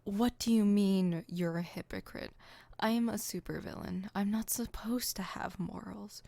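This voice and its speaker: A woman's voice: medium pitch, a little slow, creaky, dark tone, dry recording. A woman voicing an exasperated, proud but secretly caring supervillain in an ASMR roleplay, with an indignant, wordy, dry delivery.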